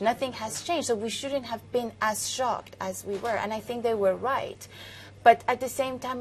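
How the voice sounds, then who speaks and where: A woman in her thirties, originally from Iran and a native Farsi speaker, answering questions in a broadcast interview in a thoughtful, measured way with hesitant fillers. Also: fairly high pitch, a little mellow. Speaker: author, interview guest.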